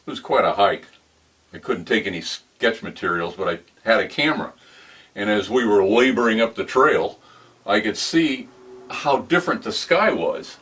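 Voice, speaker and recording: A man's voice: lowish voice, lively intonation, moderate pace, a bit bright, slightly rough. An American man in his sixties talking casually about his painting work. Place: indoor home studio.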